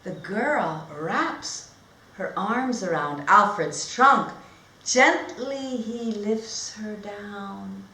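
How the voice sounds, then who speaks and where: A woman teacher demonstrating read-aloud storytelling and instruction in simple, expressive phrasing. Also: mid-range voice, very slow. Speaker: teacher trainer. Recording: echoey room.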